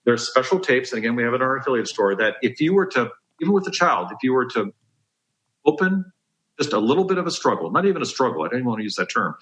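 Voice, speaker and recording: A man's voice: medium pitch, big pitch swings, quick pace, fairly bright tone, poor audio. A man giving health advice as a dentist on a podcast, explaining step by step in an emphatic, conversational way.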